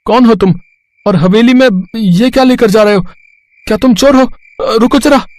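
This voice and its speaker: A humble poor farmer , as a man voices him in a cartoon story, speaking in a high, pleading voice. The recording is dry and close. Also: normal speed, darkish tone, dry recording. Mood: bold. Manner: pleading, respectful.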